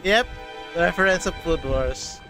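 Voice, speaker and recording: A woman's voice: fairly low pitch, measured pace, music in the background. A woman, a streamer reacting casually to a game she is playing. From Philippines.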